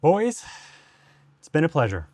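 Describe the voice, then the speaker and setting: A man with a fairly high voice talks in a casual, playful vlog style. His delivery is animated, with faint music behind him. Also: unhurried, dry recording. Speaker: video creator.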